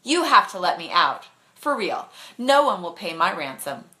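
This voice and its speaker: An anxious, feisty teenage girl voiced by a woman in a storybook. She is sarcastic and quick to take offence, with a bright, tense edge to her voice. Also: on the low side, sing-song. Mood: indignant. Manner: indignant, sharp-tongued. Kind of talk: bedtime storybook reading.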